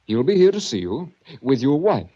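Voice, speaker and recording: A man's voice: medium pitch, big pitch swings, normal speed, warm tone. A man acting a stern, condescending sanitarium official in a 1940s radio drama, clipped and commanding. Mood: coolly patronising. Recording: muffled 1940s radio recording.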